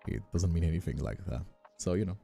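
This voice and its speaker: A man's voice: sits low, quick pace, vocal fry, dark tone, soft background music. A man, a Portuguese speaker, chatting casually on a livestream in a rambling, teasing way that often repeats phrases. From Brazil. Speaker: streamer and ASMR voice actor.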